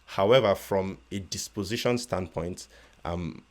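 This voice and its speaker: A Nigerian-accented man with a strikingly deep, low-pitched voice. He talks through his work as an interview guest in a measured, thoughtful way. He stumbles and restarts his sentences now and then. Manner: measured, with frequent restarts and stutters. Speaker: guest, real estate investor. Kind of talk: casual interview conversation.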